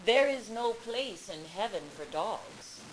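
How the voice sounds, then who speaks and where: Woman reading as a solemn, slow-spoken god of heaven. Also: mid-range voice, expressive, rough recording. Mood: stern. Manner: formal, declamatory pronouncements. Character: Indra, solemn male god, lord of heaven. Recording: live event with background noise.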